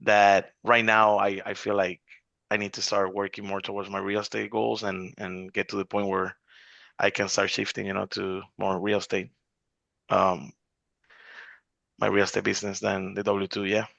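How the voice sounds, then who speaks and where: Guy in his 40s on a zoom call, lowish voice, rambles with lots of you knows, kinda muffled dry audio. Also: completely flat delivery, normal speed, creaky, fairly bright tone, no echo. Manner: rambling, many restarts and "you know" fillers. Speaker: real estate investor in an accountability group. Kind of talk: casual video call chat. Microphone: video call.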